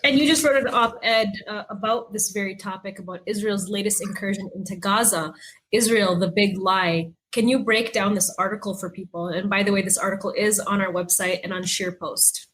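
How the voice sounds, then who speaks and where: A woman's voice: mid-range voice, fairly even intonation, normal speed, a bit bright. Woman interviewer over a faintly noisy line. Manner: introduces topic, asks direct question. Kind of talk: interview questions.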